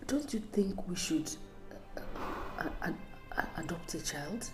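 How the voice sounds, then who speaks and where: Casting: patient Nigerian wife, lowish voice, gentle and coaxing, film drama under loud music. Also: female voice, expressive, measured pace. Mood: pleading, hesitant. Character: patient, devout, pleading wife.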